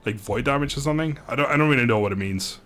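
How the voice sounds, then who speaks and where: A man's voice: mid-range voice, rapid-fire, a bit bright, soft background music. A man casually commentating a video game on a live stream, chatting with viewers in a rambling, good-humoured way.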